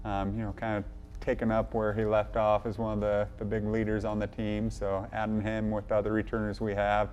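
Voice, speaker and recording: A man's voice: lowish voice, completely flat delivery, fairly quick, darkish tone, highs cut off. A man who coaches college runners, talking casually in an interview. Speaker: college cross country coach.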